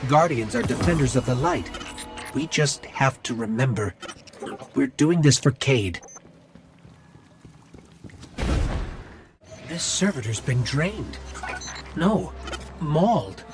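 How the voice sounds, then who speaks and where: Man acting a serious heroic game companion, mid-pitched. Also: lively intonation, measured pace, music in the background, lightly acted. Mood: solemn. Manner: serious, purposeful, clipped tactical remarks. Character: resolute heroic male guide, grave and watchful. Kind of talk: video game companion dialogue.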